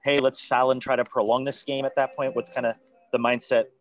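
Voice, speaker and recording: A man, a sports reporter, poses long and detailed tactical questions at a press conference. His voice sits in an ordinary middle pitch for a man. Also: little pitch movement, fairly quick.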